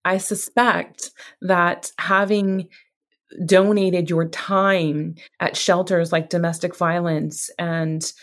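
A woman's voice: fairly low pitch, level tone, takes it slowly. A woman hosting an interview, encouraging and chatty, wandering through her thoughts and laughing easily. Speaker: podcast host. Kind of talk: conversational interview.

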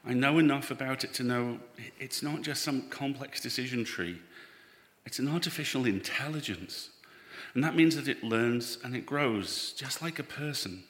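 A man playing an anxious, earnest spaceman in a live audio drama, halting and pleading as he speaks. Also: medium pitch, fairly bright tone, natural voice. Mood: grieving, pleading. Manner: halting, repeats words, pleading. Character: anxious, earnest spaceman devoted to his ship's AI. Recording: echoey hall, live stage recording.

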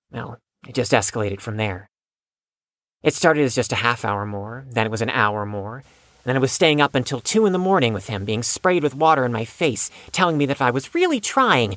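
A man's voice: mid-range voice, fairly bright tone, dry recording. A man playing a bitter, wounded young man with formal, precise wording and a defensive manner in an audio drama.

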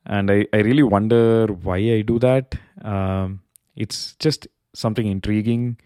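A man whose first language is not English, hosting a solo podcast and thinking aloud with many pauses and filler words. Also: lowish voice, fairly even intonation, warm tone, smooth, no echo, clean studio sound. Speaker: podcast host. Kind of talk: solo reflective podcast monologue. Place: Bay Area, California.

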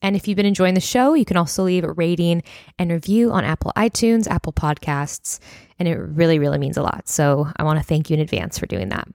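A woman in her twenties, a little hoarse, hosting a casual solo podcast and chatting with lots of fillers. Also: fairly low pitch, brisk, darkish tone, no echo, studio quality. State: slightly hoarse, voice near breaking. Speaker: podcast host.

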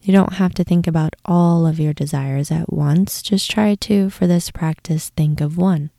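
Slow, very mellow woman guiding meditation. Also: fairly low pitch, creaky, dry recording, studio quality. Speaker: guided meditation host. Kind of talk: guided meditation, soothing instruction.

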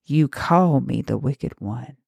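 A woman's voice: rumbling low, animated, gravelly, darkish tone, husky, no echo, lo-fi sound, full character voice. A woman voicing a mocking vengeful witch. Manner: mocking, hissing, slow and deliberate.

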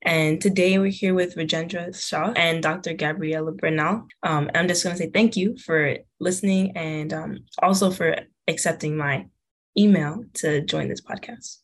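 A woman's voice: low-pitched, moderate pace. A young woman, a medical student, hosting a podcast interview in a polite, friendly way.

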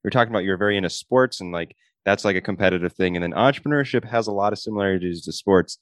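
A man's voice: sits low, rapid-fire, dry recording. A man hosting a podcast, asking his guest friendly, encouraging questions. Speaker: podcast host. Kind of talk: podcast interview.

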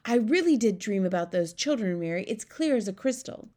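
A woman's voice: medium pitch, expressive, a little fast, mellow, natural voice. A woman voicing a regal, commanding queen in a storybook, exclamatory and aristocratic in her phrasing. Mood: earnest. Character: well-known queen, regal, commanding, easily horrified older woman. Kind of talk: storybook character voice.

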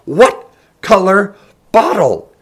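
Storybook role: male narrator as proud, hot-tempered woman, very high pitched, loud screaming outbursts. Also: huge pitch swings, extremely slow, creaky, heavily acted. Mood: furious screaming. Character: proud panicked woman shrieking in anger and terror.

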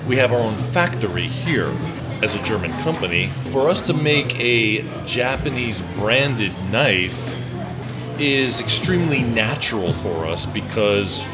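A man's voice: medium pitch, lively intonation, unhurried, loud music behind the voice. A man speaking as a company executive, explaining his business in a conversational interview.

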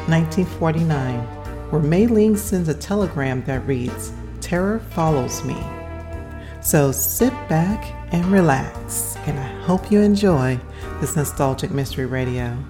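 A woman reading a scripted introduction as the host of a show. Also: low voice. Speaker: podcast host.

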